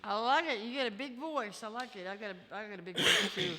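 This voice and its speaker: A woman's voice: mid-range voice, quick pace, over loud music. A woman from Missouri, a musician being interviewed, telling a story casually with lots of fillers and restarts. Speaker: musician guest. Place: reception hall. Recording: reverberant hall.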